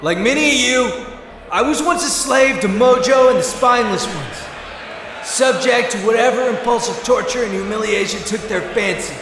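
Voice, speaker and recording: A man's voice: very high voice, normal speed. A man playing an earnest heroic rebel and former slave, giving an impassioned speech in an audio drama. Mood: solemn defiance. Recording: heavily echoing broadcast with noise.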